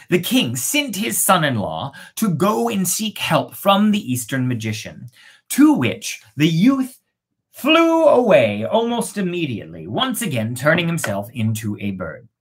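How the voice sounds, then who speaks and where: A storyteller hosting fairy tales, expressive, with dramatic pauses and a casual, playful way of talking. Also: medium pitch, husky. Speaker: storyteller and host.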